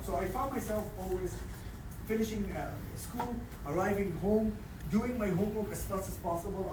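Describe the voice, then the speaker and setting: A man in his thirties from Israel, a native Arabic speaker, giving a lively, joking lecture to a live audience. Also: high-pitched. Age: 30s. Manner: animated, joking, addresses crowd directly. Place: large hall with audience. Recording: echoey hall, distant mic, audience noise.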